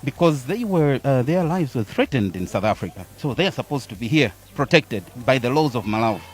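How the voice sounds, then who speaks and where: A man speaking in a measured, deliberate way about a legal process. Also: mid-range voice, very animated. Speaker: supporter interviewed.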